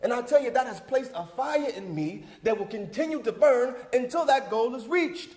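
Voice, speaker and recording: Guy acting as older community leader giving a rousing folksy speech, really high voice for a man, super echoey hall. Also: animated, normal speed, darkish tone. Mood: folksy, welcoming. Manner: preacherly, emphatic, folksy, rousing cadence. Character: John Wesley Dobbs, fervent older civic leader rallying a church crowd. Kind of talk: theatrical monologue, oratorical speech. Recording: echoey hall with long reverb.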